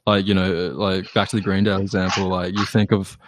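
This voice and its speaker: A man's voice: sits low, level tone, brisk, background noise. A young man, chatting in a loose, slangy way that often trails off. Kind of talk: casual group podcast chat.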